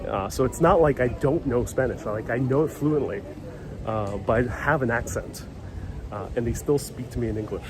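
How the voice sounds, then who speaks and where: A man's voice: medium pitch, moderate pace. A man from New York of Puerto Rican heritage, chatting loosely as a live-stream travel host outdoors, with many restarts. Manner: rambling, conversational, many restarts. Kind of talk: casual live-stream chat. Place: outdoors on a city street. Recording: street noise and traffic behind.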